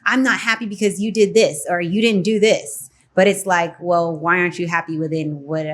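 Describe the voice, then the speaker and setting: A woman's voice: lowish voice, fairly even intonation, normal speed. A young woman from the Philippines hosting a casual interview, chatty and often asking "you know what I'm saying". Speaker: podcast host.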